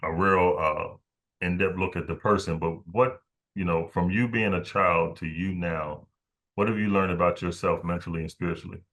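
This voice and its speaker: A man hosting a podcast, interviewing in a casual, conversational way and haltingly repeating words as he frames his thoughts. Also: low-pitched, steady tone, a bit bright. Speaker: podcast host.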